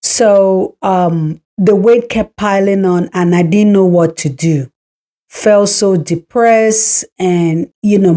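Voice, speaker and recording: A woman's voice: fairly low pitch, mellow. A woman in her forties from Cameroon, hosting a podcast and telling her personal story in a reflective, encouraging way. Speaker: podcast host.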